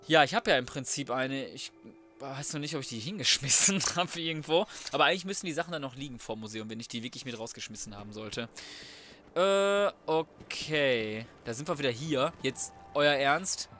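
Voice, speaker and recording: A man's voice: medium pitch, expressive, normal speed, bright, forceful tone. A man giving casual, colloquial let's play commentary, swearing and reacting aloud as he plays. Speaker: gaming YouTuber. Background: video game sound and music.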